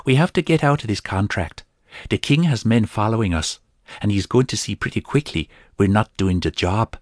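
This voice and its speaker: A man's voice: fairly low pitch, moderate pace, no room sound, clean studio sound, close to an everyday voice. A man voicing an angry young male rogue swordsman who talks in a heated, insistent way.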